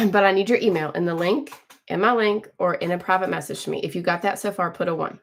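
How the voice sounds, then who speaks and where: A woman in her forties hosting a casual live stream from home, chatting with viewers, greeting them by name and often clearing her throat. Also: fairly low pitch, fast talker, a little hiss or hum. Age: 40s. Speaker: livestream host.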